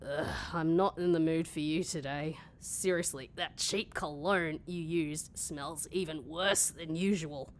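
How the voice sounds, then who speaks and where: A woman's voice: low voice, fairly even intonation, a little slow, few pauses, a little hiss or hum. A woman playing a hungover, sarcastic office worker, irritable and blunt, in a comedy audio drama. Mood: queasy, sardonic. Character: grumpy, hungover, sarcastic woman working weekend office shift.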